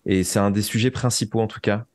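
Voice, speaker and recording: A man's voice: lowish voice, steady tone, fast talker, darkish tone, dry recording. A man speaking as an interview guest, a writer reflecting earnestly and often searching for his words.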